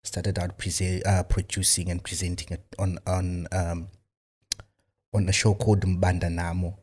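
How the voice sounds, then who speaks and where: A man from Zimbabwe with a very low, deep voice talks about his work in a studio interview. He speaks casually, hesitating often, circling back with "um" and repeated words as he finds his way. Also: little pitch movement, measured pace, a little mellow, husky. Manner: hesitant, many fillers and restarts. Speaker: guest filmmaker.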